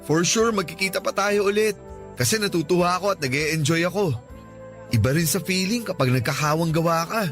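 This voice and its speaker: A man's voice: high-pitched, fairly quick, fairly bright tone. An actor with a Filipino accent playing a shy, earnest young man in a conversational radio drama. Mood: light, admiring. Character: shy, earnest young man, introverted first-time volunteer. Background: background music.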